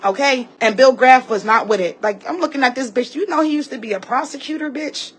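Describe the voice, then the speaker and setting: A woman's voice: sits high, a little fast, a bit bright, slightly rough. A woman giving a heated, profane personal rant as a vlogger, emphatic and repeating herself as she tells her story. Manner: emphatic and repetitive, acting out others' remarks.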